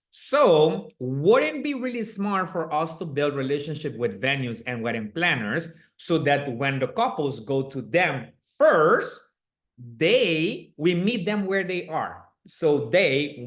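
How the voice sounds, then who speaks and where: A man's voice: on the high side, fairly bright tone. An upbeat man with a slight Aruban accent runs a live online coaching session and laughs easily between points. His speech is chatty and encouraging. It comes through a video call. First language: Papiamento.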